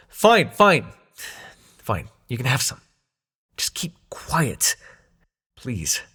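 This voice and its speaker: A man's voice: medium pitch, fairly bright tone, slightly rough, heavily acted. A man acting in an intimate audio roleplay as a young god of dreams, sarcastic and wounded but fond, talking in casual, sweary modern slang. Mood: flustered, bantering.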